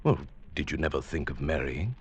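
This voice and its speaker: An actor playing a henpecked, mild older professor in an old-time radio drama, with a hesitant, formal, polite manner. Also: male voice, rumbling low, lively intonation, fairly quick, slightly rough, no room sound, heavily acted. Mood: awkward, tentative.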